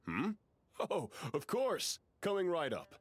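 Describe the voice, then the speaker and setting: A man's voice: high-pitched, huge pitch swings, slow, faint music behind, full character voice. A man voicing an affable, busy restaurant chef and owner in a video game, obliging and hospitable.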